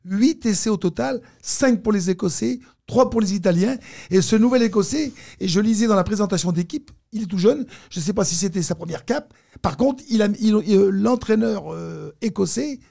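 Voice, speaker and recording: High, creaky male sports pundit. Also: lively intonation, moderate pace, mellow. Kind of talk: radio sports discussion.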